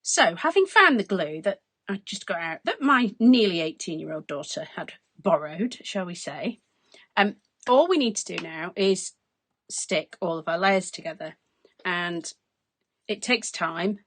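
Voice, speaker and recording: A woman in her forties, hoarse from losing her voice, chatting through a craft demonstration at her desk. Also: on the low side, lively intonation, measured pace, fairly bright tone, no echo. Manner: chatty, explains as she works. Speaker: craft tutorial host. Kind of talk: casual step-by-step crafting demonstration. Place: craft desk indoors, overhead camera.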